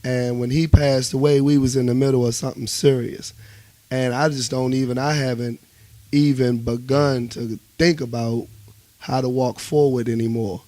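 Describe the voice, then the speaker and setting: A man's voice: medium pitch, moderate pace, no echo. A man with a Washington, DC accent talking casually and emphatically as a musician guest in a radio interview, often checking that he is understood. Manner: emphatic, animated storytelling with repeated check-ins.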